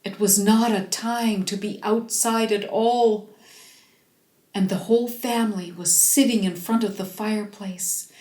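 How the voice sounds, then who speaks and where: Slow, dramatic woman storyteller. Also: mid-range voice. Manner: dramatic, drawn-out emphasis, animated. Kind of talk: expressive fairy-tale storytelling for children. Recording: roomy, echoing space.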